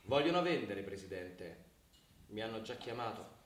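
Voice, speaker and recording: A man's voice: on the low side, big pitch swings, moderate pace. An actor playing a pressured, persuasive male mayor who schemes and pleads, pressing with questioning prompts in a naturalistic radio drama. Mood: measured pressure. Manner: insistent, tagging sentences with questioning prompts. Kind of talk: naturalistic Italian radio theatre acting. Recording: echoey room, distant mic, faint noise.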